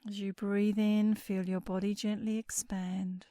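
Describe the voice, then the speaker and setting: Slow, mellow woman guiding sleep meditation. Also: medium pitch, flat delivery, no room sound, studio quality. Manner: soothing, unhurried, with breathy phrase endings. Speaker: guided meditation instructor. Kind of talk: guided sleep meditation narration.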